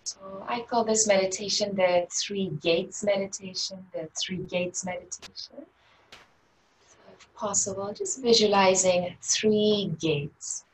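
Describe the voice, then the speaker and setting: A woman teaching a guided meditation in a gentle, unhurried, inviting way. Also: medium pitch, steady tone. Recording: distant mic with faint background noise.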